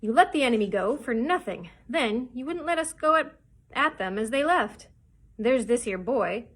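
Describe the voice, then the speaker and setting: A woman voicing a scornful, mutinous male pirate in a storybook, sneering in rough sailor talk. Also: mid-range voice, expressive, unhurried, fairly bright tone. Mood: accusing, angry.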